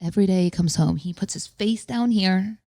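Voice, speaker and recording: A woman in her thirties co-hosting a podcast in casual chat, loud and candid with some bass in her voice and a blunt, swearing manner. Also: moderate pace, no room sound, clean studio sound. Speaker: podcast co-host.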